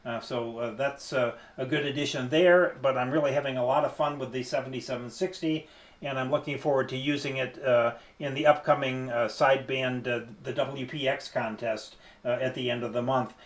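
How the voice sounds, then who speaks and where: A man talking casually as an amateur radio operator, with hesitant, halting phrasing. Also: mid-range voice, steady tone. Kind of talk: casual ham radio conversation. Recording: echoey, roomy sound.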